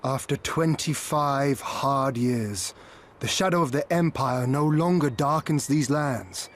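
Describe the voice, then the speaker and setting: An actor playing a solemn, earnest leader of a liberated homeland in a video game cutscene. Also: male voice, mid-range voice, slight background noise, natural voice.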